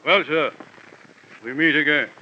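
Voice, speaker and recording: An actor playing a pompous, florid insurance investigator in an old-time radio drama. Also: male voice, on the high side, measured pace, pushed and bright, soft background music. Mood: formal, self-assured. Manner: pompous, florid, chuckling, formal. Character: pompous, urbane, portly English insurance investigator. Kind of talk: 1950s radio drama acting. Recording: muffled noisy old-time radio recording.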